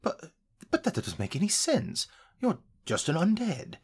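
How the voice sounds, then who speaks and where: Man voicing a husky, flustered young elf guard. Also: high-pitched, huge pitch swings, measured pace, full character voice. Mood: petulant, baffled. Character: young male elf guard, self-important but easily flustered. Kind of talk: audio roleplay drama.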